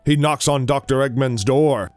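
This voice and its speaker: Male narrator, medium pitch, scripted third-person storytelling for audio drama, faint music beneath. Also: moderate pace.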